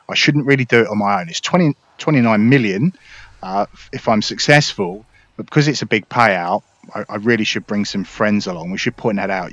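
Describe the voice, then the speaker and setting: A man's voice: fairly low pitch, fairly bright tone, dry recording, highs cut off. A man giving casual gameplay commentary, explaining things informally and directly to his viewers. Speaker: gaming video creator.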